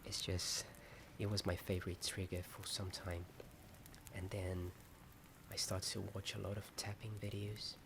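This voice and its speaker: Low whispering man, noisy ambience behind. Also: little pitch movement, a little slow. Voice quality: soft whispering, often unvoiced. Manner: hesitant, rambling, self-deprecating. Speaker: ASMR video host. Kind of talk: whispered ASMR chat. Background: added ambience sound.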